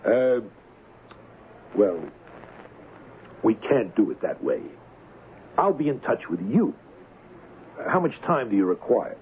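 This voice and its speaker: A man's voice: medium pitch, big pitch swings, slow, pauses often. An actor playing a smug, assured male blackmailer who needles as he bargains, in 1970s radio drama style. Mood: businesslike. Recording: narrow-band old radio, faint noise.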